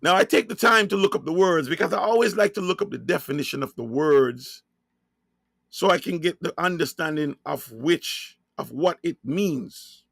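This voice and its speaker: A man from Jamaica with a Jamaican accent, preaching in a slow sermon-style monologue and hammering his points home by repeating and spelling out key words. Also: on the high side, expressive, creaky, a bit bright, no echo, studio quality.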